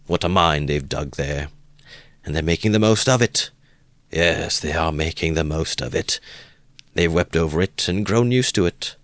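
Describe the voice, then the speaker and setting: Brooding sardonic young man, male narrator doing him low and close. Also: moderate pace, fairly bright tone, dry recording. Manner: sardonic, laughs malignantly. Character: bitter young man muttering to himself.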